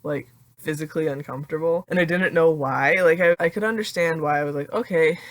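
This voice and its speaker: A young adult woman with a deeper voice talking casually in a confessional vlog, full of "like" fillers and laughing often. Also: brisk. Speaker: vlogger.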